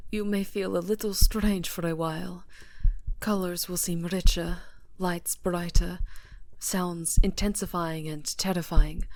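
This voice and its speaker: A woman voicing a possessive vampire countess in an ASMR roleplay, speaking in a deliberate, breathy half-whisper, seductive and menacing. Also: fairly low pitch, darkish tone, natural voice. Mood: soothing, possessive.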